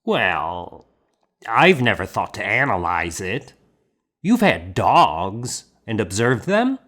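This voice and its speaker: A man's voice: on the low side, big pitch swings, slow pace, fairly bright tone, exaggerated voice. Man voicing a hearty, blunt monk schoolmaster. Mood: musing, confident. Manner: blunt, emphatic, self-assured. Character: hearty, bluff monk schoolmaster, confident and mocking. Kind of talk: audiobook character dialogue.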